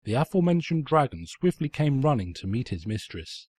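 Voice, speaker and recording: Casting: male story narrator, raspy, mock-pompous and sardonic, very dry close recording. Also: medium pitch, big pitch swings, brisk, a little mellow, no echo. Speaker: narrator, fan fiction reader. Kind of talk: theatrical scripted story narration.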